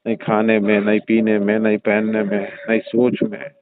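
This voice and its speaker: A man's voice: fairly low pitch, monotone, normal speed, crowd noise, natural voice. A man playing an older, indulgent father-in-law who talks in a coaxing, suggestive, familiar way in a staged phone-call drama. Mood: reassuring, persuasive. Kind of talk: staged phone-call audio drama in everyday conversational speech. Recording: muffled narrow-band phone-line sound.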